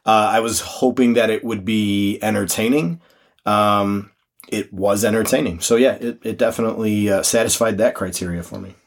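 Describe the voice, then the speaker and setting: A man co-hosting a casual book podcast, speaking in a hesitant, measured way with dry humor. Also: fairly low pitch, steady tone. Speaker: podcast co-host. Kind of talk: casual book club chat.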